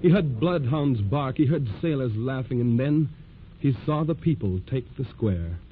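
An actor playing a solemn, poetic narrator of a historical 1940s radio drama, with measured delivery. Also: male voice, mid-range voice, lively intonation, slight background noise, lightly acted. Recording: tinny old radio with music.

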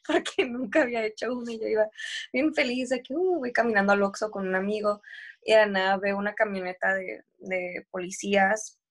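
A young woman raised in the United States shares a personal story in a casual conversation. Her voice sits at an ordinary pitch, and she speaks easily with bits of laughter. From grew up in the United States.

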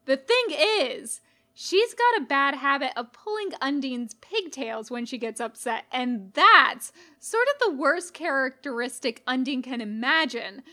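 High-voiced, unhurried woman reading a story. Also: expressive, bright, forceful tone. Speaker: podfic reader. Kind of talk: expressive scripted story narration.

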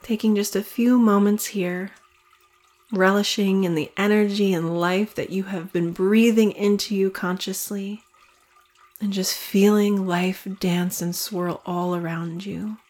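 A woman guides a short meditation in a calm, soothing voice. She speaks very slowly, leaving long pauses between phrases. Her pitch stays level and even throughout, never rising or falling much. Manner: slow, soothing, evenly paced with long pauses.

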